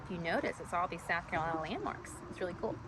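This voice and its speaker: A woman storyteller reading a rhyming children's story aloud in an animated style. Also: on the low side, quick pace, noisy background.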